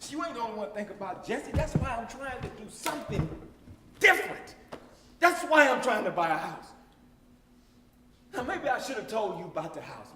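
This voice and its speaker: A man's voice: high-pitched, big pitch swings, moderate pace, pauses often, pushed and bright, a little hiss or hum, full character voice. An actor performing a stage monologue as a defensive working man, pleading passionately with his wife. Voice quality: impassioned, pleading. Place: performance room. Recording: echoey room.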